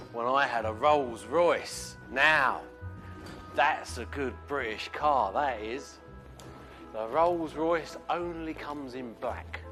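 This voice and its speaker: A man's voice: mid-range voice, fairly bright tone. An actor playing a chatty male prisoner who boasts about his car-stealing past to a guard.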